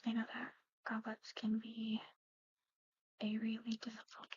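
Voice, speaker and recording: Soft whispery woman doing asmr clinic roleplay, pretty flat gentle explaining, hesitant, super dry close mic. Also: a little high, unhurried, breathy edge, dry recording, dull audio. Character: soft-spoken, matter-of-fact woman at an eerie old clinic. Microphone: close-up ASMR microphone.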